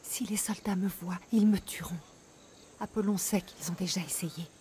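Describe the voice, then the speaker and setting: A woman voices a fearful, secretive beggar of ancient Greece for a game. She speaks in conspiratorial hushed tones at a medium pitch. Faint insect noise sits behind her. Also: fairly even intonation, normal speed, close to an everyday voice. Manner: hushed, conspiratorial, often half-whispered. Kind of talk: dubbed video game dialogue.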